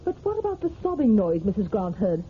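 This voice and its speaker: An actress playing a detective's inquisitive, sharp-tongued companion in an old radio drama, poised and arch. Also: female voice, medium pitch, sing-song, a little fast, close to an everyday voice. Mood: curious. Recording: tinny, noisy old radio recording.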